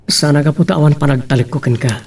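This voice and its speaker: A man's voice: fairly high pitch, fast, darkish tone, slightly rough, distant mic. A man with an Ilocano accent playing an earnest young suitor in a radio drama.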